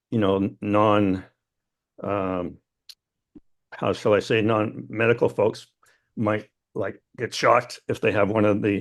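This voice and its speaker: A tired man with a raspy voice asking a rambling, friendly question on a video call. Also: fairly low pitch. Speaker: audience member asking a question.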